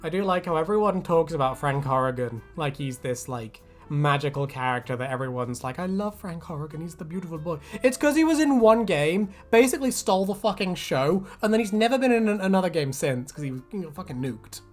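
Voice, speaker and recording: Casual male YouTube host, high-ish playful chat not required; loose, jokey, sweary, music underneath. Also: expressive, brisk, hardly any pauses. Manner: joking, swearing, rambling. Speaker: YouTube host giving reactions to fan predictions. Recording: music playing behind. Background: background music.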